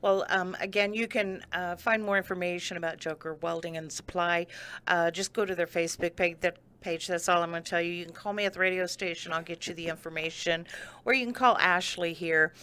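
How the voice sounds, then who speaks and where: A woman's voice: on the low side, fairly even intonation, bright, forceful tone, clean tone. A woman hosting a local radio interview, friendly and affirming as she asks her guests questions. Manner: friendly, affirming, quick to laugh. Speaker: radio host.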